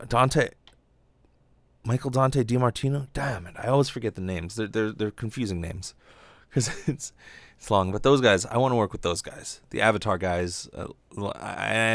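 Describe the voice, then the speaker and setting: Deep-voiced, rambling man. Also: a bit bright, no room sound. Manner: rambling, stammering with repeated false starts, sweary. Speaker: podcast host and aspiring voice actor. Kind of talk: casual monologue podcast.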